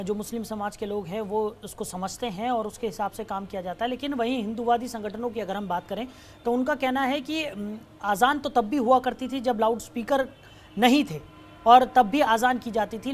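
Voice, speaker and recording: A woman anchors a news programme. Her voice sits a little high as she hands over to a correspondent and frames questions for live reporting. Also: darkish tone, a little hiss or hum.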